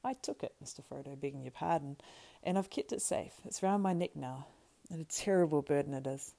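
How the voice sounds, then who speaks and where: A woman's voice: low-pitched, animated, a little fast, creaky, mellow, exaggerated voice. A woman voicing a loyal, practical male hobbit servant from a storybook, deferential and homely in manner. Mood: earnest, reluctant. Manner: deferential, homely rustic phrasing.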